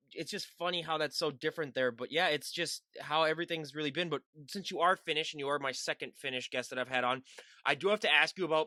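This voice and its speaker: A young man hosting a podcast, talking fast and rambling through restarts and fillers. His voice is bright and a bit high for a man, recorded dry and close with no room sound. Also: no room sound. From Minnesota, USA. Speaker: podcast host. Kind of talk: casual interview chat.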